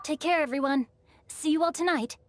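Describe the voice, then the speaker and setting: A woman's voice: high voice, fairly quick, slight background noise, close to an everyday voice. A woman voicing a courteous, devoted young lady in an anime game, humble and formal. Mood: warmly polite.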